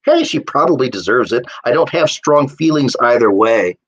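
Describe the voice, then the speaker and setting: A man's voice: medium pitch, animated, moderate pace, breathy edge, muffled recording. An offhand young man read by the story's author, a man banters with his lover. He sounds casual and flippant, a joker in a short story. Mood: flippant, bantering.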